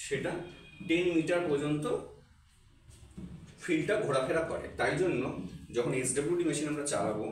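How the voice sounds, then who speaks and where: A man's voice: medium pitch, a little hiss or hum. A man giving an informal physiotherapy tutorial, explaining step by step and often repeating himself. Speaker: physiotherapy instructor presenting a tutorial. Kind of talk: informal demonstration lesson to camera. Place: echoey indoor room. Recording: very echoey, distant microphone, music behind. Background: music.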